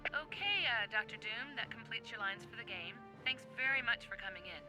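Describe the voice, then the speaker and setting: A woman's voice: a little high, lively intonation, a little fast, music in the background, close to an everyday voice. A woman playing a patient but harried voice session director in a video game, polite and coaxing. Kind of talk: video game character acting.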